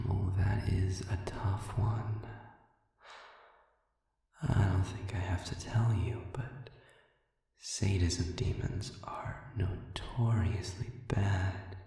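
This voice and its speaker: A man playing a sadistic, manipulative demon in an audio roleplay, smug and philosophical, with a low gravelly voice and a deliberate, murmuring delivery full of pauses. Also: fairly even intonation, mellow, whispered, full character voice. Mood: sly, mocking. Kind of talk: dramatic audio roleplay monologue. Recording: heavily reverberant, distant cavernous sound.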